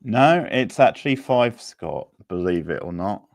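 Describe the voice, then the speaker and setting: A man's voice: lowish voice, big pitch swings, measured pace, gravelly, darkish tone, dry recording. A man hosting a quiz in a casual, chatty way, rambling a little as he thinks aloud. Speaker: quiz host.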